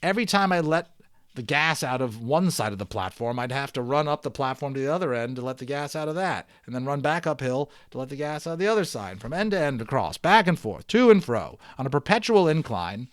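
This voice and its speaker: A man reading a storybook aloud in an expressive, dramatic way, with a folksy, casual manner as host. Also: medium pitch, brisk, no room sound, studio quality. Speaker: reader and host of a read-aloud series.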